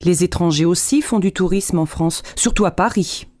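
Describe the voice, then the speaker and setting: Mellow-voiced woman reading dialogue lines. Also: lowish voice, no room sound. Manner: clear, deliberate delivery for learners. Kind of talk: scripted learner dialogue.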